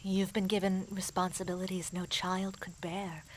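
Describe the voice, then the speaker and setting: A woman playing a grave mystic advisor in a fantasy audio drama, speaking formally, in an earnest and measured way. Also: fairly low pitch, flat delivery, slight background noise, close to an everyday voice.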